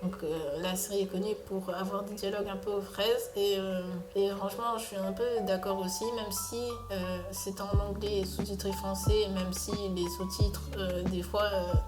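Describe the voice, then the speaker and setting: A woman's voice: medium pitch, steady tone, moderate pace, few pauses. A young woman giving a casual spoken review, hesitant and full of filler sounds and restarts. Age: young adult. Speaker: video essayist reviewing a cartoon. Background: music playing.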